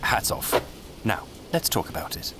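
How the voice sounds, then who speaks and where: An actor playing an urbane, sardonic journalist and schemer in an audio drama, droll and theatrical in delivery. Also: male voice, a little slow, lightly acted. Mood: playful. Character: urbane, sardonic male state media journalist, flamboyant schemer. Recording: noisy ambience behind the voice.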